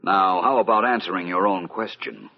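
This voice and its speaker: An actor playing an urbane, dry and politely probing detective with a husky voice in an old-time radio mystery. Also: male voice, low-pitched, moderate pace. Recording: muffled vintage radio recording.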